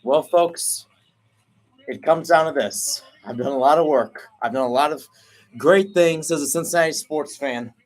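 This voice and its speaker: Excited young man calling a penalty shootout. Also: normal speed. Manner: energetic, jumps in pitch and volume at each kick. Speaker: radio play-by-play announcer. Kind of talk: excited sports commentary. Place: at home, not at the stadium.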